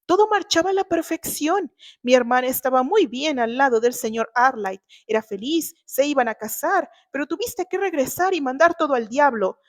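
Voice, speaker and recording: Woman acting brash, spiteful young man, high-pitched. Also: animated, normal speed. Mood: hostile accusing. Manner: accusing, stammering when cornered. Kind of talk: dramatized audiobook reading.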